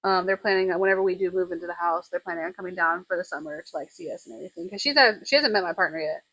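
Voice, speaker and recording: A woman's voice: fairly low pitch, rapid-fire, fairly bright tone, rough recording. A woman in her twenties, a podcast guest in a tattoo studio, rambling through candid casual chat and laughing often. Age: 20s.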